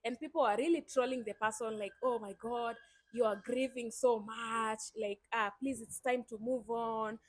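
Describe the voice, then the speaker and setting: Here is a young Kenyan woman who hosts her own channel in a chatty, upbeat way. Her voice sits a little high and sounds dry and close, and she talks straight to her viewers. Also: steady tone, moderate pace, dry recording. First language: Swahili. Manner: chatty, enthusiastic, addresses viewers directly. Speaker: YouTube host. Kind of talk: casual chat.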